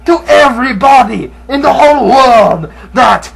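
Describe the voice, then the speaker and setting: A man playing a shrill, gravelly luchador boaster. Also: lively intonation, unhurried, crowd noise, full character voice, low-quality recording. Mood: boastful. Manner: broken English, shouty theatrical boasting. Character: boastful masked male luchador wrestler hosting a game review. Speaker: game reviewer. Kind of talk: comic game review in character.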